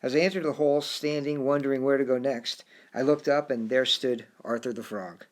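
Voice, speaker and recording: A man's voice: mid-range voice, steady tone. A man narrating his own first-person story as an audiobook, reflective in tone and sometimes stumbling over a word and restarting. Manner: reflective, with occasional halting restarts.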